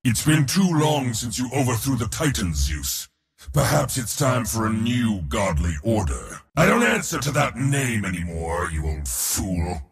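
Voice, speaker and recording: An actor voicing a proud, battle-hungry god of war in a video game, with a theatrical, mocking, boastful delivery. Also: male voice, fairly low pitch, very animated, unhurried, big-room sound. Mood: cold, menacing. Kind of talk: video game character voice lines.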